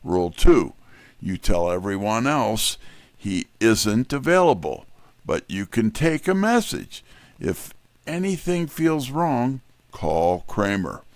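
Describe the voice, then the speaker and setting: A man's voice: lowish voice, very animated, slow, no echo. A man playing a wry, candid detective's sidekick in first-person scripted narration. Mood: earnest.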